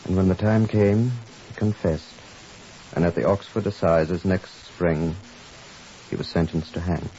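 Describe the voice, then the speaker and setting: An actor playing a curt, commanding police superintendent in 1950s radio drama style. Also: male voice, deep voice, fairly even intonation, a little slow, warm tone. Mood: grave, measured. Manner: curt, authoritative. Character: gruff, commanding Scotland Yard police superintendent, curt and impatient. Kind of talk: 1950s radio drama acting and first-person narration. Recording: muffled, hissy 1950s radio recording.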